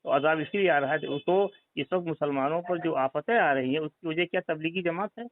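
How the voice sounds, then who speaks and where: A man's voice: a little high, fairly quick. A man on a recorded phone call, arguing about religion in an insistent way and pressing with repeated leading questions. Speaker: caller questioning a religious group member. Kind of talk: phone conversation, religious argument. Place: phone line.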